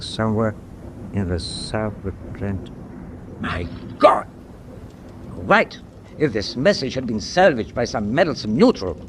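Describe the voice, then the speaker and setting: An actor playing a stern, proud middle-aged German captain in a wartime radio drama, speaking in a clipped, deliberate, imperious way. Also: male voice, on the low side, noisy background. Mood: suspicious, deliberate, then angry.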